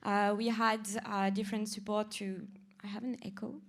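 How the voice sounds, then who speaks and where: A woman presenting objectives to colleagues at a staff meeting, in a lively, urging way. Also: mid-range voice, moderate pace, creaky.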